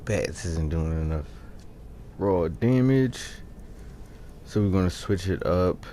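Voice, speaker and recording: A man's voice: sits low, animated, slow, warm tone. A man giving casual, offhand commentary as he plays a video game, muttering to himself. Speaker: gamer narrating his own gameplay. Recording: game noise under the voice. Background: video game dungeon ambience and combat sounds.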